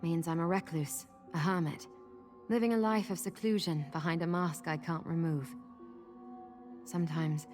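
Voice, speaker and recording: A woman's voice: low voice, a little mellow. An actress playing a troubled, reclusive woman with lost memories in a fantasy video game, speaking in a guarded, weary, confiding manner. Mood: brooding, weary. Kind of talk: video game character dialogue.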